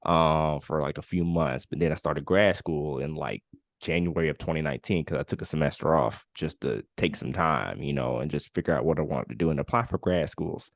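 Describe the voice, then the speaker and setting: A young man in his late twenties with a very deep voice talks through his own story on a podcast. He speaks casually and candidly, leaning on filler words as he goes. The sound is dry and close, with no room around him. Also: a little fast, gravelly, no echo. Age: 20s. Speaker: podcast co-host. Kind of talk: casual conversational podcast chat.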